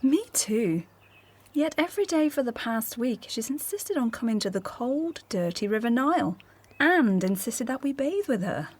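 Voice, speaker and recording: A woman's voice: fairly high pitch, big pitch swings, normal speed. An actress in a scripted audio drama playing a princess's complaining young palace maid, grumbling about her duties.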